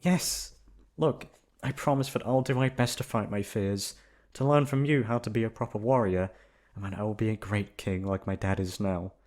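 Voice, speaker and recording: A man voicing a gentle, earnest, timid teenage prince in a bedtime story, speaking softly and hesitantly. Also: lowish voice, fairly even intonation, moderate pace, close to an everyday voice. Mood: hopeful, reassuring.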